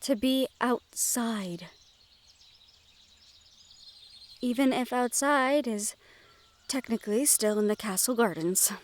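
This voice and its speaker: A woman playing a sheltered young noblewoman in a fantasy audio roleplay, gentle, wistful and quietly willful, with formal phrasing. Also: on the high side, measured pace, lightly acted. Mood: wistful, resigned. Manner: formal speech with few contractions. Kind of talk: fantasy audio roleplay acting, addressed to the listener.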